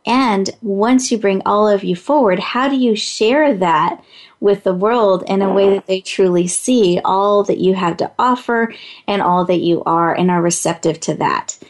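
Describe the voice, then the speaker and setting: A woman with a high voice and a giggling laugh, hosting a talk show in a playful, motivational way. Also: a little slow.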